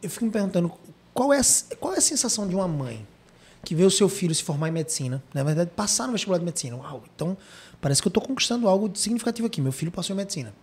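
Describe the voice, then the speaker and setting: Fast-talking Brazilian podcast host. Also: mid-range voice, expressive, darkish tone. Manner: rapid storytelling, rhetorical questions. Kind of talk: podcast discussion.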